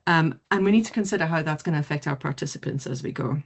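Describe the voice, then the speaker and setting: A middle-aged woman from South Africa, whose first language is not English, giving an online mindfulness presentation in a lively, quick way. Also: deep voice. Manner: lively, quick, laughs easily. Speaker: mindfulness teacher.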